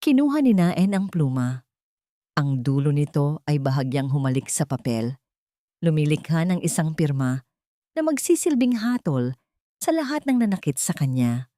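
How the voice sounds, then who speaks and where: A woman narrating a scripted dramatic love story in a measured, emotive way. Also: low voice, very animated, dark tone, dry recording, studio quality.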